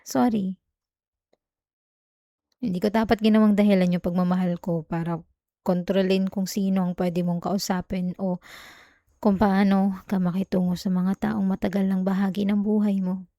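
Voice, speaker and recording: Woman acting as jealous young girlfriend, Filipino accent, intimate ASMR roleplay, gravelly creak, mellow tone, dry recording. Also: mid-range voice, flat delivery, normal speed, pauses often, dry recording, natural voice. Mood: remorseful, tender. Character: jealous young girlfriend, sulky, clingy, turning remorseful.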